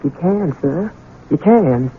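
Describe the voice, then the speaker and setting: A woman's voice: deep voice, expressive, slow pace, slight background noise. A woman playing an anxious, deferential middle-aged housekeeper in a 1970s radio drama, humble and folksy. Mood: anxious, distressed. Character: anxious, deferential older housekeeper, protective mother. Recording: tinny 1970s radio recording.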